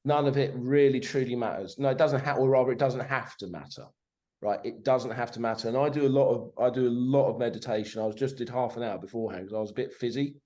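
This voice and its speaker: A man in his forties, a podcast guest on a video call, talking in a sweary, animated way and tagging his points with "right?". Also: mid-range voice, fast talker. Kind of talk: casual conversation.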